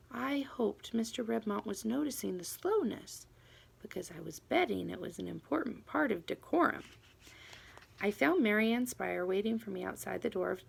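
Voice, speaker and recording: Animated woman reading a children's novel aloud. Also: mid-range voice, gravelly. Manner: animated, dramatic emphasis on key words. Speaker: audiobook reader. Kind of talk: expressive children's book narration.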